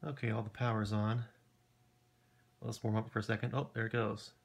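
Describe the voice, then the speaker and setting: A man's voice: fairly low pitch, little pitch movement, normal speed, creaky. A man giving a casual, matter-of-fact demonstration at his workbench, speaking informally and off the cuff. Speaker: hobbyist presenter. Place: indoor electronics workbench.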